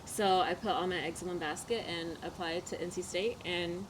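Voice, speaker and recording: Young North Carolina woman, lowish voice. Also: moderate pace. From North Carolina, United States. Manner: relaxed, with "uh" and "um" fillers. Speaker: veterinary student. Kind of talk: casual group interview. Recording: clear background noise.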